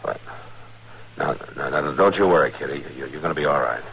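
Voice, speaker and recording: Casting: stern big frontier marshal, deep gruff voice, old radio western, dull hissy recording. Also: male voice, a little fast, fairly bright tone, heavily acted. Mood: reassuring. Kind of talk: 1950s western radio drama acting.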